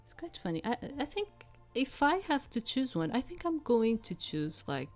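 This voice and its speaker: A woman's voice: fairly high pitch, big pitch swings, moderate pace, soft background music. A woman streaming a game, reading its text aloud and adding casual, rambling commentary as she searches for words.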